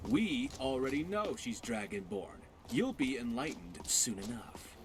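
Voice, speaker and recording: A man's voice: mid-range voice, big pitch swings, measured pace. A man voicing a sardonic but loyal pirate companion in a fantasy video game, with a dry delivery. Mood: dry, teasing. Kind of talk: scripted fantasy game dialogue.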